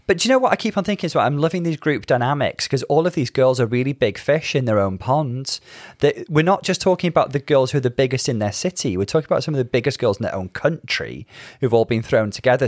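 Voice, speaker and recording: A British man with a medium-pitched voice speaks as a podcast co-host. His commentary is casual yet articulate and measured. The sound is dry and close, with no echo. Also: expressive. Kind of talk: casual TV show recap commentary.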